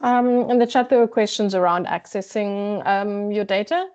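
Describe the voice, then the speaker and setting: A woman's voice: medium pitch, normal speed, darkish tone. A woman moderating an online Q&A, relaying chat questions hesitantly with many fillers. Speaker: meeting moderator. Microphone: video call. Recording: dull lo-fi call audio.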